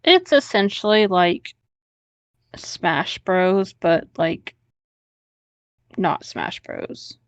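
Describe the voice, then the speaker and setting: A woman's voice: lowish voice, big pitch swings, very slow, long pauses, no echo, lo-fi sound. A woman host reading an online story aloud, breaking off now and then into casual asides.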